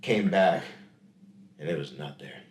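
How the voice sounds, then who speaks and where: A man co-hosting a podcast in casual chat, rambling and slipping into quick silly voices when quoting. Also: low-pitched, darkish tone, roomy sound. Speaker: podcast co-host.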